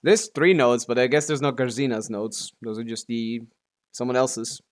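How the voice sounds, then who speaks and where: A man's voice: medium pitch, fairly even intonation, moderate pace. Man casually muttering through a game stream. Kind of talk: casual live gameplay commentary.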